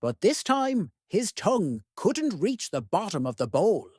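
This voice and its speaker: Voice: medium pitch, big pitch swings, a little slow, a little mellow, slightly rough, no echo, clean studio sound. A storyteller reading a children's picture book aloud expressively, addressing young listeners. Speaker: read-aloud host.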